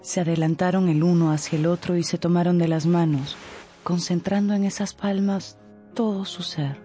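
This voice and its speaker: Woman narrating a radio novel over music. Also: on the low side. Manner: expressive, storytelling.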